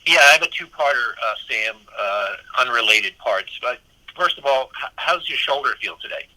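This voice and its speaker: A man's voice: medium pitch, expressive, moderate pace, bright, forceful tone, rough recording. A man working as a sports reporter, asking questions on a press call in conversational phrasing.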